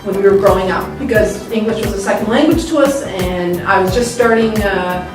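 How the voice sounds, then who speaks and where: A middle-aged woman, chatting casually in an interview, laughing often and filling pauses with ums. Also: mid-range voice. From Greece, raised in Toronto. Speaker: interviewee, school staff. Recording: echoey room, distant mic, music behind.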